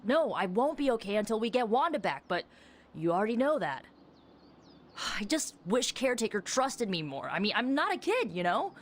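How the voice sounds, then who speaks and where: A woman's voice: on the high side, big pitch swings, moderate pace. An actress playing a defiant, confident young witch in a video game, impassioned and colloquial with a sarcastic edge. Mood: frustrated, hurt. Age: young adult. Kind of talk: dramatic video game acting.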